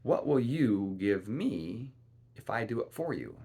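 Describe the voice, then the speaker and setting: A man voicing a strange little magical man in a fairy tale, sly, coaxing and deliberate as he bargains. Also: fairly low pitch, big pitch swings, warm tone, slightly rough, pitched above the performer's own voice, exaggerated voice. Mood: sly, confident.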